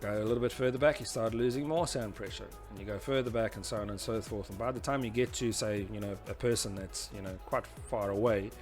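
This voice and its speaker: A man with a fairly deep voice talks through an instructional presentation. He explains in an informal, slightly halting lecture style. Faint music sits behind his voice. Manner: hesitant, explanatory, often saying "you know". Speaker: instructor presenting a tutorial series.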